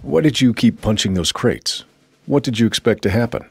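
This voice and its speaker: An actor playing a stern, skeptical military officer in a video game, questioning a prisoner in a dry, formal way. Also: male voice, mid-range voice, moderate pace, gravelly, noisy background, natural voice.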